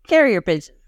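A woman's voice: high voice, dry recording. A woman co-hosting a podcast in casual chat, playful and laughing often, recorded close and dry. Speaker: podcast co-host.

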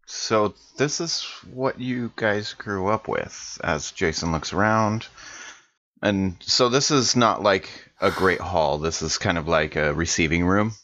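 Male roleplay player, low pitch, casual conversational delivery, dry and slightly dull close recording. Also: expressive, a little slow, a bit bright, dry recording. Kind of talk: casual game chat, in and out of character.

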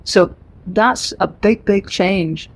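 Northern irish woman giving a book talk in a library, lively and emphatic, chatty asides. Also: mid-range voice, takes it slowly, no room sound. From Northern Ireland. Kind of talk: public talk to a live audience. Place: library event room.

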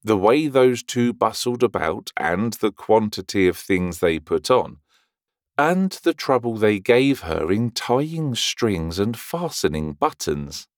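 A man narrates a children's story slowly, with plenty of rise and fall in his lowish voice. The recording is dry and close. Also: very animated, no room sound. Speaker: volunteer audiobook reader.

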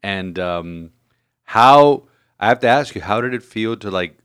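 A priest co-hosting a podcast, a man who teases his co-host and asks quick questions in casual chat. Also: fairly low pitch, animated, a bit bright, smooth. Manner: teasing, chuckling, quick questions.

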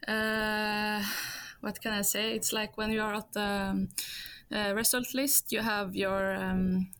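A woman from Norway, a guest in a casual interview, answering in halting English as she searches for words. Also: mid-range voice, flat delivery, fairly bright tone, clean tone, no echo.

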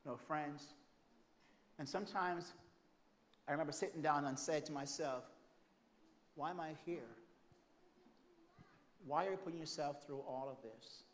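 A man with a Jamaican accent preaching a sermon, moving between loud, emphatic declarations and soft, hushed storytelling. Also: fairly high pitch, measured pace, long pauses. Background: congregation calling out amens.

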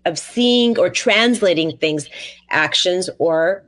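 A woman's voice: fairly low pitch, expressive, slow. A woman hosting a podcast in a casual, conversational, enthusiastic and affirming way. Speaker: podcast host.

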